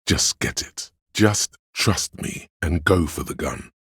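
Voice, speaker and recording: A man voicing a cool, cautious, principled police lieutenant in a video game, gravelly, controlled and deliberate. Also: deep voice, animated, fairly bright tone, no room sound, clean studio sound, heavily acted. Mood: reassuring. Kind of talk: dramatic game dialogue, inner thoughts.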